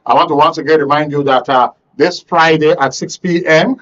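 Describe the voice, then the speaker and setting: Higher-voiced Nigerian male talk show host, dull audio. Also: steady tone, normal speed, fairly bright tone. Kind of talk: current affairs panel moderation.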